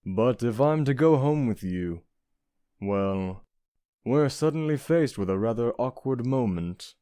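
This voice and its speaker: Charming rogue prince for a comic dub, played by a man: courtly, mellow, mid-pitched, self-assured, close dry sound. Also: animated, unhurried, no echo, lightly acted. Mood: suave. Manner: smooth-talking, genteel, self-assured. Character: suave, flirtatious, penniless prince with courtly charm.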